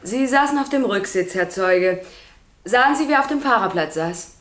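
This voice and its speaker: An actress playing a stern, precise public prosecutor in a radio play, formal, pointed and lecturing. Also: female voice, medium pitch, lively intonation, moderate pace, fairly bright tone, natural voice. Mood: pointed, probing. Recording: roomy courtroom echo.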